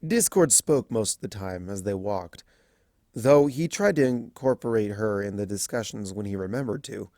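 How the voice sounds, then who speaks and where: A man reading a fan story aloud as an expressive storybook narrator. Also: fairly low pitch, normal speed, dry recording, clean studio sound. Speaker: fan fiction reader.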